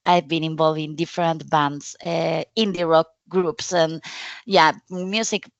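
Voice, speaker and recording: A woman from Spain with a Spanish accent, giving a conference presentation in friendly, halting non-native English with frequent restarts. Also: sits low, fairly even intonation, vocal fry, dry recording, highs cut off. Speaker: conference speaker.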